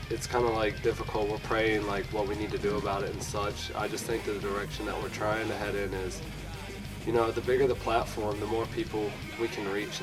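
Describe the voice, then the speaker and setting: A twenty-year-old man, a band's drummer, speaking earnestly and haltingly about his aims. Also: on the low side, poor audio. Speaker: band drummer. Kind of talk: casual interview.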